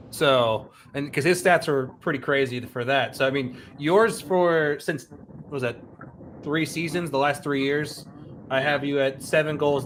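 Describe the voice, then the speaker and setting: A man's voice: medium pitch, slight background noise, rough recording. A man in his thirties hosting a casual podcast interview, rambling with many restarts and reading out player stats. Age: 30s. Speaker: podcast host.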